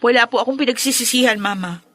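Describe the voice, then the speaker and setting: A woman's voice: fairly high pitch, quick pace, a bit bright, natural voice. A woman playing a proud, defiant young daughter in a radio drama, indignant and sassy, with a Filipino accent. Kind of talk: Tagalog radio drama acting.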